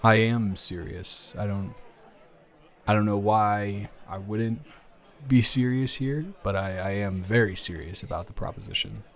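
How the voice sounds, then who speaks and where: A man's voice: lowish voice, unhurried, fairly bright tone. A man playing an awkward, joking, smitten young lover in an improvised intimate audio roleplay, hesitant and wordy with self-deprecating humor. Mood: hesitant, earnest.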